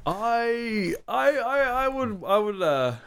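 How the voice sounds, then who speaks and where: A man's voice: high-pitched, very animated, creaky, fairly bright tone, clean tone. Joking man co-hosting a podcast. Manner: blunt, joking, quick to agree. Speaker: podcast co-host. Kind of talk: casual chat.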